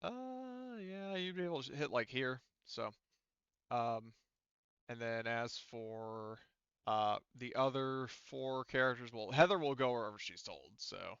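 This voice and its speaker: A man running a casual tabletop roleplaying session as game master, explaining things in a halting way. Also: mid-range voice, lively intonation, a bit bright, smooth, no room sound, highs cut off.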